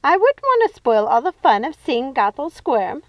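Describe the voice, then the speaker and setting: A woman's voice: sits high, big pitch swings, moderate pace, dry recording, pitched above the performer's own voice. A woman voicing a scheming, cruel adult witch sister who bosses her sisters around and gloats. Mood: commanding. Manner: bossy, gloating, commanding.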